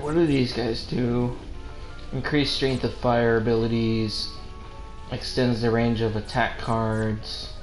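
A man casually chatting as he streams a video game, swearing freely. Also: medium pitch. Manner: casually profane. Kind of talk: casual gaming chat with co-host. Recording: roomy echo, music behind. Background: video game music.

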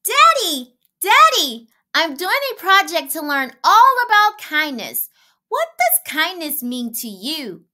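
A woman voicing an eager, inquisitive young schoolgirl in a storybook, with a sing-song, excited way of calling out. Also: very high-pitched, slow pace, voice pushed up, heavily acted.

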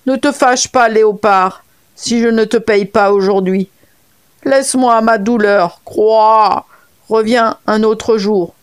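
A woman voicing a sly female frog who croaks and wails to dodge her debts. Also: fairly high pitch, fairly even intonation, takes it slowly. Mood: mournful. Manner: croaking, mournful pleading. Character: wailing female frog debtor, sly and evasive.

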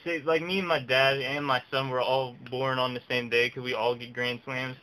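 Young guy doing prank calls, bright voice, slangy, noisy webcam audio. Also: medium pitch, level tone, moderate pace. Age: young adult. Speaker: prank caller. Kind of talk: casual prank phone chat. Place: small room indoors.

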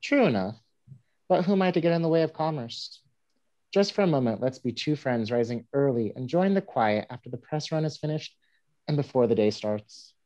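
A man in a play reading, voicing a gentle, besotted newspaperman of the Old West. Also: mid-range voice, animated, moderate pace, dull audio, natural voice. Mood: fond. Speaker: actor in an online staged reading. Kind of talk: scripted stage play reading. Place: indoors at home, on a group video call.

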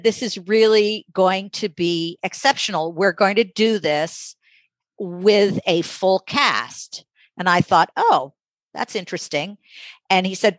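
A mature woman speaking as an interview guest, thoughtful and conversational, halting and reflective as she pauses mid-sentence. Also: fairly low pitch, a bit bright, dry recording. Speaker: interview guest, audiobook magazine editor.

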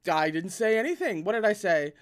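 A man's voice: high-pitched, very animated, a little fast, voice pushed up. A man voicing a mischievous, teasing young man who whines and jokes in a raised voice. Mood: protesting. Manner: teasing, whiny, sing-song.

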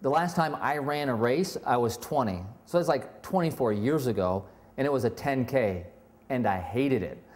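A man's voice: mid-range voice, animated, moderate pace, a little mellow. 40s male pastor, candid and wry, mid-range voice. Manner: candid, self-deprecating humor. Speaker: lead pastor. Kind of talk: testimonial interview. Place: indoor room with brick wall.

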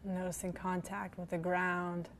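A woman with a lowish voice leads a guided meditation, speaking gently and soothingly. Her intonation stays very flat and steady, with long pauses between phrases. There is noticeable background noise in the recording. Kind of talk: guided body scan meditation.

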